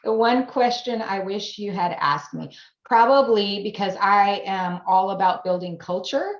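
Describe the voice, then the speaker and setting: A woman's voice: mid-range voice, a little slow. Earnest woman on an echoey video call. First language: German. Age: 40s. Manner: earnest, speaks in building repeated phrases, laughs easily. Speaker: guest, AI education business founder. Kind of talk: interview conversation. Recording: echoey room, dull lo-fi sound.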